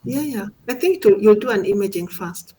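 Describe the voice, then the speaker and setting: A woman's voice: mid-range voice, animated, normal speed, warm tone. Woman teaching in an informal seminar. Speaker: radiology instructor.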